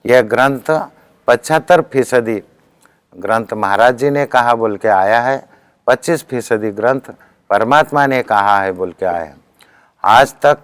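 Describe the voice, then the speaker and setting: Male spiritual teacher, Deccan Hindi accent, earnest discourse delivery, mid-pitched resonant voice in a dry recording. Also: smooth, no room sound.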